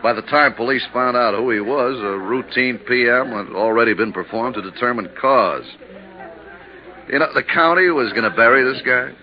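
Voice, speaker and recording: A man's voice: mid-range voice, moderate pace, close to an everyday voice. An actor playing an easygoing, chummy insurance man in 1950s radio drama style, folksy and colloquial. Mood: chummy, informative. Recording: muffled, noisy 1950s radio recording.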